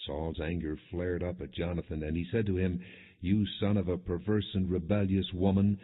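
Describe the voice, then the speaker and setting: Casting note: male audiobook narrator, deep and husky, measured scripture storytelling on thin, band-limited audio. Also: level tone. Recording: muffled narrowband, phone-like audio.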